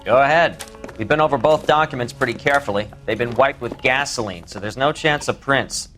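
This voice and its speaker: An actor as a precise, mid-pitched police investigator. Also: male voice, fairly quick, a bit bright, faint music behind, natural voice. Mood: businesslike. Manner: matter-of-fact, precise. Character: matter-of-fact police investigator briefing colleagues on evidence.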